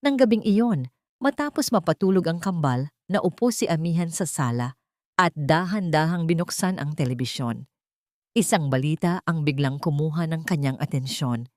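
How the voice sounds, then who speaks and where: A woman's voice: low voice, animated, moderate pace, a little mellow, no echo, clean studio sound. A woman reading a scripted dramatic story as its narrator.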